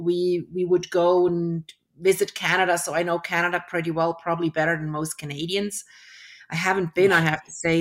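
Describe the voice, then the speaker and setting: A middle-aged woman from Switzerland, a guest in a casual interview over a video call, reflective and full of restarts. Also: lowish voice. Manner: reflective, with frequent restarts and fillers.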